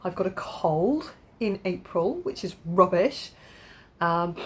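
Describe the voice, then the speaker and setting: A woman's voice: lowish voice, expressive, slow. A woman chatting casually to camera from her home conservatory, stuffy-nosed from a cold, rambling with frequent ums and restarts. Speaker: crafting vlogger.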